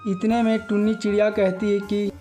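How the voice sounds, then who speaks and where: A male narrator reads a scripted moral tale for children. His voice is high for a man and soft-edged, with very even intonation and music playing behind it. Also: normal speed. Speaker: story narrator.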